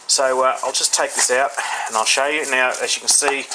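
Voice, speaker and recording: A man with an Australian accent giving a casual, unscripted hands-on product review, haltingly thinking aloud. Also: medium pitch, steady tone. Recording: faint background noise.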